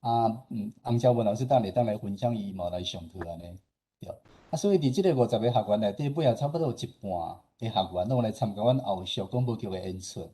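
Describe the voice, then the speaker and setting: A man with a low, husky voice and a Taiwanese accent presents informally. His tone is dark and relaxed as he explains things, often ending phrases on little particles. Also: fast. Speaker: radio station head presenting at a forum.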